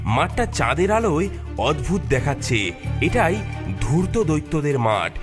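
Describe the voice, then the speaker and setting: High, animated male storyteller. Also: measured pace. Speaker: narrator. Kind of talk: dramatic scripted cartoon story narration. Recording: loud music mixed under the narration.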